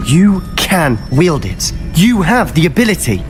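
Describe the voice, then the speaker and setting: A man's voice: a little high, sing-song, measured pace. An actor playing an intense, desperate young man in a video game, pleading earnestly and insistently. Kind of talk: dramatic video game acting. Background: game music.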